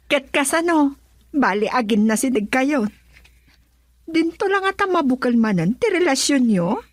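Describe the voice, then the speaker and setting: A woman's voice: high voice, measured pace, no echo. An actress voicing a curious young woman pressing her cousin with questions in a radio drama. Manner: inquisitive, lively questioning.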